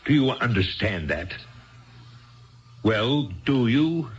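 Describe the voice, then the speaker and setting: An actor playing a cold, domineering bookish husband in a 1970s radio drama, curt, emphatic and imperious. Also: male voice, mid-range voice, animated, takes it slowly. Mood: angry, threatening. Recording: dull lo-fi 1970s radio broadcast.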